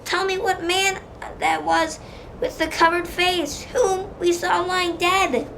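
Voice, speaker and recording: A child reading Scrooge, fearful old miser. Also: female voice, very high voice, fairly even intonation, takes it slowly, vocal fry, noisy background, full character voice. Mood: pleading. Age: child voice, third grader. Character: Scrooge, frightened old miser pleading with a ghost. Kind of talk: school radio play reading.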